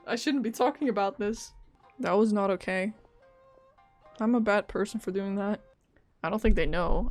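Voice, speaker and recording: A woman streaming a game, reacting casually and talking to herself in a giggly way on a close mic. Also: mid-range voice.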